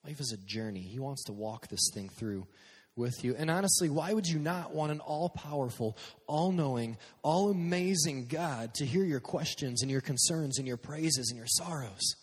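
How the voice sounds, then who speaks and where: A man preaching a casual, energetic sermon to young people on a stage microphone, dropping to quiet prayer at times. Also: medium pitch, normal speed, husky, big-room sound. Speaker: youth pastor. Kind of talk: youth sermon. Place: church hall with a live sound system.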